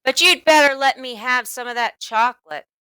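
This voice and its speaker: Woman acting storybook boy bully, bright, raised pitch, sneering, bossy, sly. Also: normal speed, smooth, dry recording. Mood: demanding. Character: mean, sly schoolboy bully, taunting and demanding.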